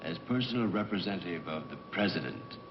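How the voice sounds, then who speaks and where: An actor playing a courteous, ceremonious presidential envoy in a dubbed film. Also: male voice, sits low, expressive, fairly quick. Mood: formal, well-wishing. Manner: ceremonious, formal. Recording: dull, noisy old film soundtrack.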